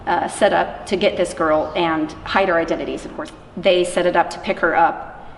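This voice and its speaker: Female interviewee, lowish voice, informal storyteller, distant roomy recording with faint noise. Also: fairly even intonation, moderate pace. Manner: informal, acts out quoted dialogue. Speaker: interviewee, anti-trafficking worker. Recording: echoey room from far off, faint noise.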